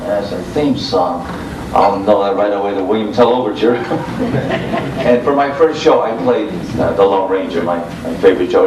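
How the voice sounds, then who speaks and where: Older man, informal panel moderator, hesitant delivery, distant microphone, clear background noise. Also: lowish voice. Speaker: radio show host and panel moderator. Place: convention room before an audience. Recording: roomy, distant, noisy informal video recording.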